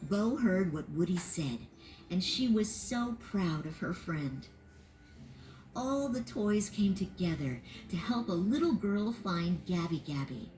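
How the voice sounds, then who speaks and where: A woman narrating a children's read-along storybook in an expressive, sing-song way. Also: lowish voice, slow, faint music behind, low-quality recording.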